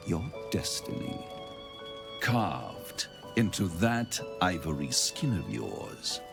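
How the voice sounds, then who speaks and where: A suave devil from a video game, speaking in a low British voice that swoops dramatically. The delivery is slow and gloating, relishing each phrase, with music underneath. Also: male voice, vocal fry, exaggerated voice. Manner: theatrical, savouring each phrase. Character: suave, gloating male devil, theatrical and silky. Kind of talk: video game villain dialogue.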